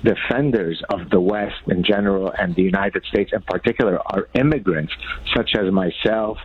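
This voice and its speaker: A man's voice: deep voice, normal speed, a little hiss or hum. A man from Lebanon, an Arabic speaker, making pointed, emphatic arguments as a radio interview guest. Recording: tinny phone line.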